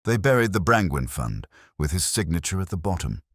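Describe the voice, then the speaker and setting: Man playing a deep, blunt, questioning police sergeant. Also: big pitch swings, brisk, husky, no echo, clean studio sound, heavily acted. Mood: muttering. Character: blunt, puzzled male police sergeant, the sidekick.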